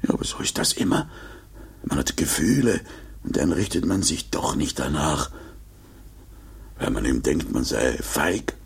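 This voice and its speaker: An actor in a 1950s German radio play, playing an anxious, grumbling man who talks to himself with nervous self-reassurance in a gravelly, breathy voice. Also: extremely low pitch, very animated, normal speed, long pauses, exaggerated voice. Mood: despairing, muttering. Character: anxious, grumbling man alone in a rickety lift.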